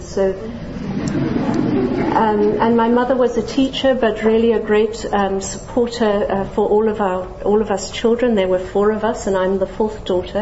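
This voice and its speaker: A middle-aged woman from Zambia speaks as a scientist to a general audience, her voice mellow and her delivery friendly, with light self-deprecating jokes and frequent ums. The sound is dull and echoey, as in a lecture hall, with faint room noise behind. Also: medium pitch. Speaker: scientist giving a public lecture.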